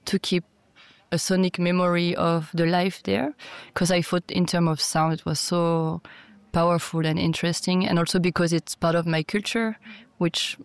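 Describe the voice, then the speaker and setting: Soft-voiced woman. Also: lowish voice, steady tone, moderate pace, no echo. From France. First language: French. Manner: thoughtful, hesitant, with fillers and restarts. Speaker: music producer and DJ. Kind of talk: interview talk.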